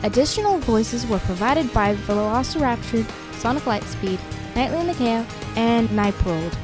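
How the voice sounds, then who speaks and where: A woman announcer reads the closing credits in a smooth, formal manner. Her voice sits fairly high, and music plays clearly underneath. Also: lively intonation, moderate pace. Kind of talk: scripted credits reading.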